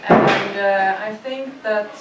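A woman's voice: medium pitch, slow pace. A woman with a Swedish accent, a panelist, speaking earnestly with many fillers. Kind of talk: panel discussion remarks. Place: seminar room with audience. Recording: distant, roomy, noisy.

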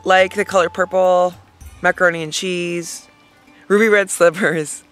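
A woman in her thirties giving a dramatic, mock-emotional reading of song lyrics, with halting, deliberate pauses, recorded outdoors on a handheld camera. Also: fairly low pitch, steady tone, fairly bright tone, soft background music. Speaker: comedic video host. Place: lying outdoors in a hammock. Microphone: camera held at arm's length.

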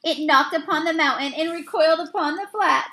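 A woman with a high, bright voice reads verse aloud from a picture book. Her delivery is halting, with the odd misreading that she goes back to fix. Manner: halting, with misreadings and self-corrections. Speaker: read-aloud reader. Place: small indoor room.

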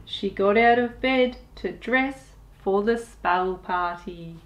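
A woman in her thirties reading a picture book aloud to young children, expressive and deliberate, as a storytime host. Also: medium pitch. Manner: expressive, deliberate, with sound effects and asides to listeners.

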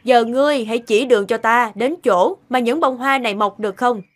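A woman's voice: on the high side, brisk, close to an everyday voice. A woman voicing a haughty, spoiled and cruel cartoon queen, imperious and threatening. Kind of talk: cartoon villain acting. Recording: background music under the voice.

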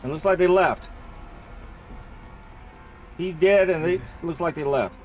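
A man giving casual let's-play commentary, thinking out loud and repeating himself as he goes. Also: fairly high pitch, measured pace, soft background music. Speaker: gamer playing and commenting on a horror game.

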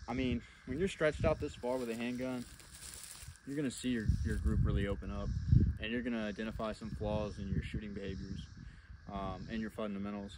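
A man's voice: medium pitch, noisy background. A young man giving casual, blunt instructional talk to a camera outdoors, as a firearms instructor. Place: outdoors in a wooded clearing at a flat range.

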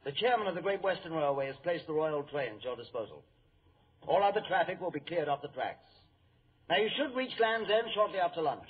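An earnest, formal shipping gentleman in a vintage radio play. His voice is husky and slightly high, with an anxious courtesy, on a thin phone-like recording. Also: animated, brisk. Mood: earnest, businesslike. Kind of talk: old-time radio drama acting.

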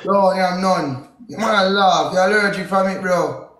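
A man's voice: sits high, a little slow, fairly bright tone. A man in his twenties with a Jamaican accent chatting casually on a livestream, emphatic and repeating himself for effect. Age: 20s. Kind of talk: casual bantering livestream chat. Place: indoors in a home living room. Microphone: phone or webcam close in front, split-screen live call. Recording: echoey room.

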